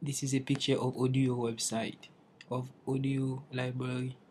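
A man's voice: medium pitch, flat delivery, moderate pace, darkish tone, a little hiss or hum. A young man from Haiti, an English learner, giving a careful class presentation over slides and restarting phrases as he searches for words. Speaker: English language student presenting.

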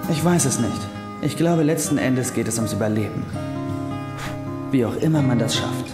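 An actor playing an earnest, boyish and slightly goofy young doctor in a German-dubbed TV comedy-drama. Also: male voice, medium pitch, normal speed, reverberant, big-room sound, over loud music, close to an everyday voice. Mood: reflective. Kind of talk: German TV comedy-drama dubbing with reflective narration.

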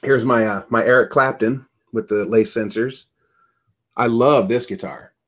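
A man's voice: mid-range voice, fairly even intonation, unhurried. Middle-aged man hosting a chatty call. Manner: chatty, trailing off with ums, joking. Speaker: podcast host. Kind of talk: casual chat. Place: small home room, indoors. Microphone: webcam on a video call.

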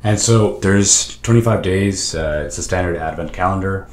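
Very deep hoarse man, voice nearly gone. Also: moderate pace, reverberant. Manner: excited, rambling with fillers. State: lost voice, hoarse. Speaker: stationery vlogger. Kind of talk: casual show-and-tell. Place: home study with lamps and bookshelves.

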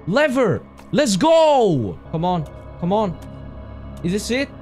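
A young man commentates a horror game into a headset mic, his voice high and swinging wildly between yelps and mutters. He gasps and shouts at every scare, and his throat has gone hoarse from it. Faint music runs under his voice. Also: sing-song, slow. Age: young adult. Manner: jumpy, yelling and gasping at scares. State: hoarse, voice strained from screaming. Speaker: gaming streamer. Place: small room indoors.

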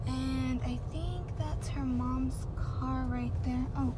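A woman's voice: fairly even intonation, slow pace. A young American woman in her twenties chatting casually as a vlogger, recorded on a dashboard camera while driving. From Tucson, Arizona, USA. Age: 20s. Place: inside a car while driving. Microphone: camera mounted on dashboard. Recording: noisy car interior with road rumble. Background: loud road and car noise.

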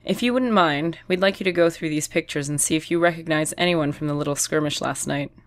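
A woman voicing an older female police detective who speaks in a measured, formally polite way. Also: sits low, no room sound, voice pushed down, lightly acted. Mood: cool polite.

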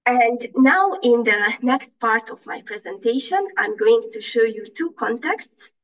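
A woman's voice: a little high, lively intonation, measured pace, fairly bright tone, distant mic, highs cut off. A woman giving a conference talk over slides on a video call, hesitant, with many fillers and restarts; German is not her first language. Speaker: conference presenter.

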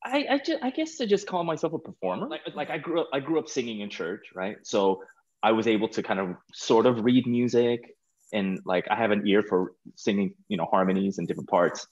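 A middle-aged American man chatting casually as an interview guest over a video call, informal and halting, often repeating words. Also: on the low side, animated, dull audio. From California, United States. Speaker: guest, stage performer. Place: small plain room indoors, video call.

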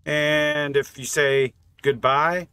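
Bright-voiced man casually narrating a game. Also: a little high, steady tone, slow pace, clean tone, no room sound. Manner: offhand, thinking aloud. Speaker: gaming channel host. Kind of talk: casual game mod test commentary.

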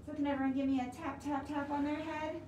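A young woman leading a preschool storytime, giving animated, simple instructions to small children, her voice slightly muffled by a face mask. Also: high voice, fast. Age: young adult. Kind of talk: preschool storytime talk, songs and read-aloud. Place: indoor library room with large windows. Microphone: camera a few metres away. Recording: roomy, distant mic, clear background noise.

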